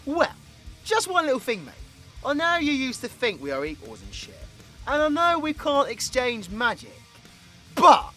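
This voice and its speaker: A man's voice: very high-pitched, wildly swooping pitch, moderate pace, music in the background, heavily acted. A British man playing a cocky, foul-mouthed, brawling supervillain in an audio roleplay, mocking and crude. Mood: sly taunting. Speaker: voice actor.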